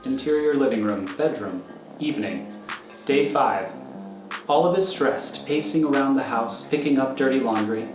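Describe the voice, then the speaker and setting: A man's voice: mid-range voice, moderate pace. A man narrating screenplay directions in a matter-of-fact, descriptive way. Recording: echoey, prominent music underneath.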